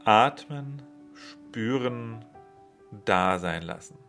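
A man leading a guided meditation, giving slow, soothing instructions with long pauses. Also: medium pitch, animated, creaky. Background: soft instrumental music.

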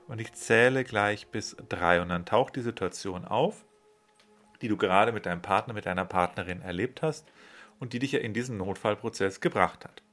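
A man leading a guided meditation, giving slow, soothing instructions with long pauses. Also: fairly low pitch. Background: soft instrumental music.

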